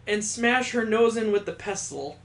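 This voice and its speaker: Vengeful visual novel killer played by a man, cold and deliberate, slightly high voice in a roomy space. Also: expressive, creaky. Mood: coldly cruel. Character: vengeful, coldly cruel young killer narrating a visual novel. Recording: roomy, echoing sound.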